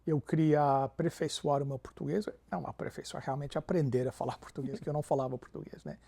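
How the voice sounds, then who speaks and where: Gravelly pastor, warm tone. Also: male voice, on the high side, steady tone, fast talker. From Canada. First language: English. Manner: peppers Portuguese with English fillers. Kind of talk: interview storytelling.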